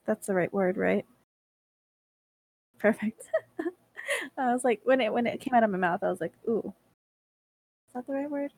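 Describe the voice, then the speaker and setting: A woman's voice: a little high, lively intonation, unhurried, vocal fry, long pauses, darkish tone, dry recording. A woman in her twenties co-hosting a podcast, teasing her friends, giggling and asking the group questions. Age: 20s. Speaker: podcast co-host. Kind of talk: casual chat.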